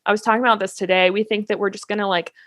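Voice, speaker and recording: A woman's voice: medium pitch, steady tone, very fast, fairly bright tone, no room sound, clean studio sound. A woman hosting a casual conversational interview, speaking in an informal, encouraging and affirming way. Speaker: podcast host interviewing guests; fitness class instructor and coach.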